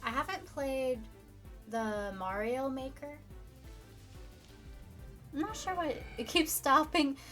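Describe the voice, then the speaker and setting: A young woman, a bit hoarse, chatting casually with her viewers on a live stream. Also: high voice, slow pace, long pauses, bright, forceful tone, faint music behind. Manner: chatty, upbeat, reads viewer comments. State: slightly hoarse, losing her voice.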